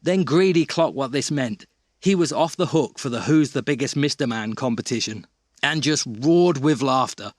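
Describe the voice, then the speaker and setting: A man's voice: mid-range voice, normal speed, dry recording, clean studio sound, close to an everyday voice. A man playing a friendly, eager cartoon character who chats and gushes as he reminisces in a mock documentary audio drama. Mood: fond, gushing. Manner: chatty, gushing.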